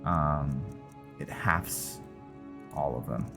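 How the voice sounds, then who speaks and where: Male gameplay host, very unhurried and deep, casual, halting as he thinks aloud. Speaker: host of a game playthrough. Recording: music behind the voice. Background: game music.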